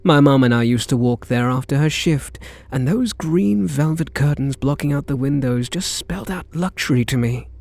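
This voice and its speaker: A man's voice: mid-range voice, vocal fry, darkish tone, natural voice. A man with a British accent playing a smitten kidnapper, a sweet-talking gangster, in an intimate ASMR roleplay. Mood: wistful, flirtatious. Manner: slow, hesitant sweet talk with pet names.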